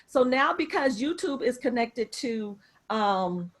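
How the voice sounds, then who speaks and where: A woman's voice: on the high side, lively intonation, takes it slowly. A woman teaching an interactive class over a video call as a professor, emphatic and repeating phrases for emphasis. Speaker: marketing professor.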